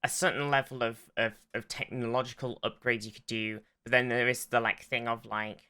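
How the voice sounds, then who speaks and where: Male co-host, sharp and clear voice, casual podcast discussion, hesitant explainer. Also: medium pitch, level tone, brisk. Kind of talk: casual pop-culture discussion.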